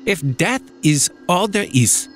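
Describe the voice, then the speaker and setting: A man's voice: high voice, animated, slow pace. A man narrating a first-person horror story in a dramatic, scripted storytelling style. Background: music.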